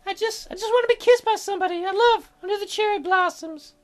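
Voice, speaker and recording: A man's voice: very high voice, fast talker, fairly bright tone, faint music behind, pitched above the performer's own voice. A man voicing a teasing, wistful, stubborn young sick girl in a visual novel, with a hesitant, pleading way of talking. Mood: shy, pleading.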